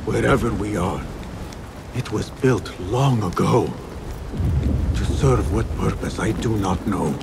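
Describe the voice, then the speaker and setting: Troubled young assassin for a video game, slow grave delivery, creaky gravelly voice. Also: male voice, fairly low pitch, noisy background. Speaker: game character actor. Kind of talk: dramatic video game acting. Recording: loud music behind the voice.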